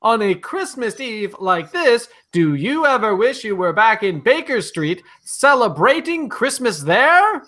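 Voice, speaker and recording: Cheerful, folksy announcer, played by a man: high bright voice, unhurried, comic old-time radio show. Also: sing-song, heavily acted.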